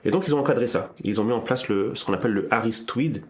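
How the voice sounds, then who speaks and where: A man's voice: medium pitch, fast. A man hosting a casual discussion, thinking aloud with frequent restarts and repeated words. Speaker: podcast host.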